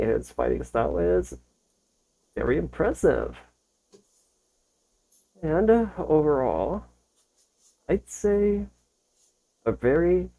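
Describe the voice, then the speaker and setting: A man's voice: a little high, mellow, low-quality recording. A man hosting a reaction video with casual commentary in an informal, enthusiastic, slangy way.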